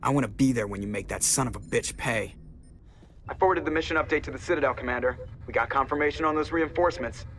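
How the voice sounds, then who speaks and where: A man's voice: mid-range voice, fast talker, fairly bright tone, close to an everyday voice. Male actor as young starship pilot, informal, plainspoken, game drama, slight background noise. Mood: businesslike. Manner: casual, plainspoken. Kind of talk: video game voice acting. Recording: faint background ambience noise.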